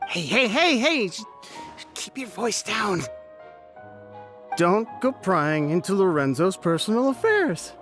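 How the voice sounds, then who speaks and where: A jittery, hungover game character. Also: male voice, high-pitched, very animated, slow pace, long pauses, soft background music, heavily acted. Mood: anxious warning. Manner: hesitant, hushed warnings, uneasy. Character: hungover, nervous man afraid of a dangerous acquaintance. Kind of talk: video game voice acting.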